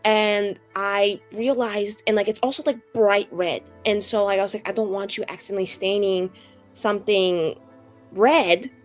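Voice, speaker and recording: A woman's voice: mid-range voice, fairly even intonation, moderate pace, close to an everyday voice. A woman voicing a brash, sly young schemer in tabletop roleplay, rambling in slang and cajoling with mock sincerity. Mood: sheepish, pleading. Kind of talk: improvised tabletop roleplay dialogue. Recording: music behind the voice.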